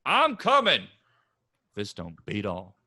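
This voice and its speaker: Man voicing a sulky farm son, grumbling, slow, lively bright voice, play reading. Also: fairly low pitch, exaggerated voice. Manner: grumbling, gruff. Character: angry rural man, grown son, sullen.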